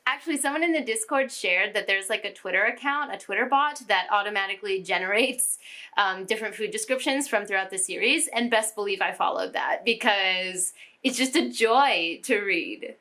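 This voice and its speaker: A woman's voice: medium pitch, moderate pace, bright, forceful tone. A young woman chatting casually about books she read, laughing often and filling with "like" and "you know". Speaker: book vlogger. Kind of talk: casual book review chat. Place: home room with bookshelves.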